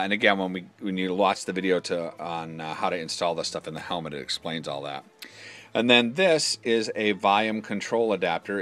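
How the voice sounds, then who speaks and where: A man with a low, forceful voice walks through a product demonstration in a casual, practical way. He explains each part step by step in an informal manner, with music behind him. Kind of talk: casual hands-on product walkthrough.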